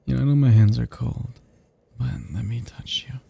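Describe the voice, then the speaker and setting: A man's voice: medium pitch, fairly even intonation, warm tone, dry recording. A man playing a melancholy, possessive vampire in an ASMR roleplay, murmuring intimately and often dropping into whispers. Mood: seductive, intimate. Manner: slow, intimate, breathy murmuring that drops into whispers. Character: seductive, melancholy, possessive male vampire, self-loathing immortal predator.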